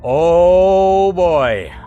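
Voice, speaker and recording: A man hosting a gaming video, commenting excitedly on a story game and swearing casually. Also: high-pitched, very slow, clear, resonant tone, lo-fi sound, faint music behind. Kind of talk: let's play commentary.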